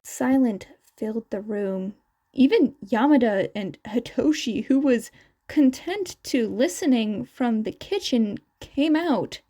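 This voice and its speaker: A woman's voice: fairly high pitch, animated, slow, warm tone. A woman reading fan fiction aloud as a scripted story narration. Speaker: narrator, podfic reader.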